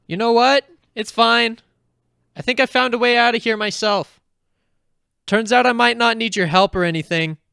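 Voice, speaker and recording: A man's voice: very high voice, fairly even intonation, normal speed, vocal fry, bright, forceful tone, clear, resonant tone, no echo, clean studio sound, full character voice. A man voicing an anxious but determined, sarcastic teenage girl adventurer who talks to herself in an audio fan fiction. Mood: defiant shouting. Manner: wry, talking to self.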